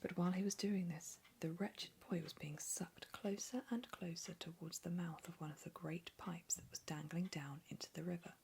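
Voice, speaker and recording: A woman whispering a storybook reading in a low, hushed voice. A faint hiss and small clicks sit behind her. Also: steady tone, moderate pace, vocal fry, few pauses.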